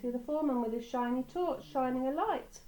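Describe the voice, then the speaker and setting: A woman reading a picture book aloud to children in a teacherly way, pausing for asides about the pictures. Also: sits high, dark tone, low-quality recording. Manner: teacherly, pointing out pictures and repeating words.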